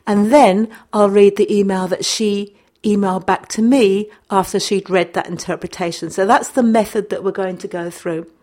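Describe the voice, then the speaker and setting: A woman of middle pitch hosts a dream interpretation podcast. She talks in a steady, methodical way, laying out each step of her process before she reads a listener's email aloud. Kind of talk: solo podcast narration.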